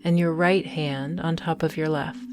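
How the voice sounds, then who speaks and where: A woman guiding a mindfulness meditation in a calm, soothing, measured way, leaving long pauses between phrases. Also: low-pitched, over music. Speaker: meditation guide. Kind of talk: guided mindfulness meditation narration.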